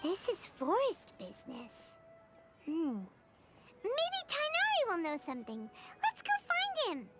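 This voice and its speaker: An extremely squeaky little fairy girl, excitable and inquisitive. The childlike video game voice swoops dramatically at a slow pace over clear music. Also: exaggerated voice. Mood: curious. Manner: inquisitive, exaggerated. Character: tiny excitable floating fairy girl companion.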